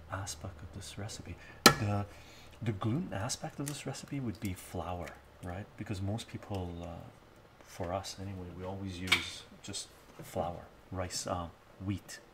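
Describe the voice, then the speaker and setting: Middle-aged guy cooking on stream, low gravelly voice, chatty with viewers, loud noise behind. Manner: chatty, talks to viewers while working, frequent "right?". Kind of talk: casual live-stream cooking chat. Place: home kitchen, indoors. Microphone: fixed camera mic, moves toward and away from it.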